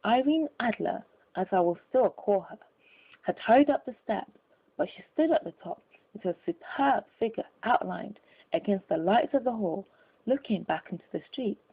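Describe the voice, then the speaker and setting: Husky woman narrator, phone-like sound. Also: medium pitch, animated, moderate pace. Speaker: audiobook narrator. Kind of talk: scripted literary narration. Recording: narrow, phone-like lo-fi audio.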